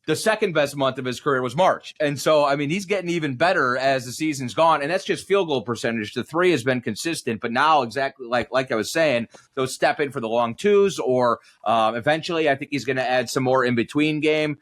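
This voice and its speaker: A man's voice: medium pitch, fairly quick, few pauses, a bit bright. A man who works as a basketball announcer, giving conversational sports analysis as a guest in long, digressive explanations. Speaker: basketball radio play-by-play announcer, podcast guest.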